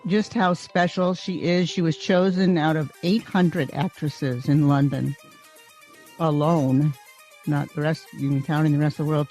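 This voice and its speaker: A woman's voice: sits low, dark tone, rough recording. A woman podcast host introducing her guest admiringly, often restarting and stumbling over words. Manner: admiring, with frequent restarts and fillers.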